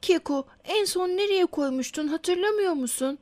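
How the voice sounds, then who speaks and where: A high-pitched girl's voice for a children's cartoon. She is a caring, helpful big sister who speaks supportively. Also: normal speed, a little mellow. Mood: reassuring. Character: caring, helpful older sister, young girl.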